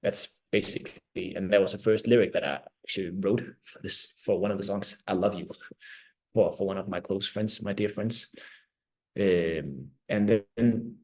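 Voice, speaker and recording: A man in his thirties, a native Danish speaker with a Danish accent, giving reflective interview answers as a band's vocalist, often halting and searching for words. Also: sits low, a little mellow, poor audio. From Denmark. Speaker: band vocalist and lyricist.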